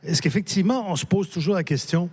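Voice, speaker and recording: Man moderating a live panel, measured, poses long framing questions. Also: high voice, darkish tone, breathy edge. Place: book fair stage.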